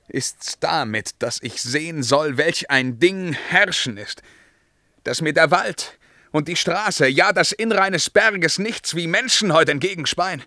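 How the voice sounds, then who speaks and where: Brooding emperor, tense gravelly voice, theatrical declamation, passionate, verse radio play, dry studio sound. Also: male voice, mid-range voice, bright, forceful tone, no echo, exaggerated voice. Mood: appalled, indignant. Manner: theatrical, intense, swinging between whispers and outbursts. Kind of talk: poetic, declamatory radio drama acting.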